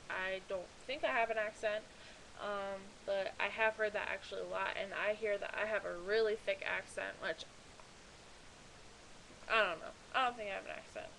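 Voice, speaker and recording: A woman's voice: medium pitch, fairly bright tone, low-quality recording. A young woman from Pennsylvania with a Pennsylvania accent, chatting casually as a vlogger and reading a list aloud with lots of "like" fillers. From Pennsylvania, USA. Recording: faint hiss.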